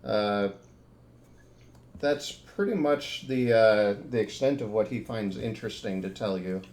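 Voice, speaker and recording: A man with a somewhat low voice running a tabletop horror game. He narrates loosely and casually, stopping for ums and restarts as he describes scenes. His tone stays easygoing throughout. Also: unhurried, a little mellow. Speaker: game master.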